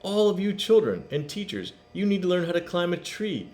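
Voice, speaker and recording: A man's voice: high voice, sing-song, darkish tone, pitched above the performer's own voice. Man reading as an earnest, over-eager storybook school principal. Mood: earnest, insistent. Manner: emphatic, proud, drawn-out praise.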